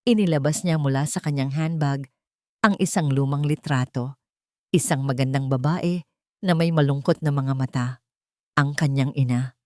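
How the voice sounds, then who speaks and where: A woman's voice: rumbling low, normal speed, a little mellow, no echo, clean studio sound. A woman with a Filipino accent reading a dramatic love story as scripted narration.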